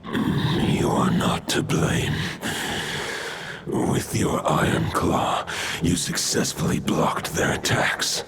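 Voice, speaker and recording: A man voicing an ancient wolf spirit in a video game, solemn and formal, with a gravelly, breathy growl. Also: takes it slowly, very noisy, close to an everyday voice. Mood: grave, resolute. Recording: loud music behind the voice.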